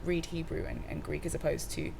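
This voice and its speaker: A woman's voice: low voice, level tone, brisk, vocal fry, noisy background. A young woman from Cardiff, chatting casually in an interview with loose slang, fillers and restarts. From Cardiff, Wales. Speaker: musician guest.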